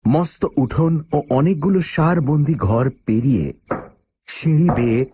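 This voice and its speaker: A man's voice: a little high, a little slow, mellow, breathy edge, no echo. A man playing the uneasy first-person narrator of a radio horror story, telling it in a dramatic, suspenseful way. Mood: ominous, suspenseful. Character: male first-person narrator, uneasy job-seeker with a dog.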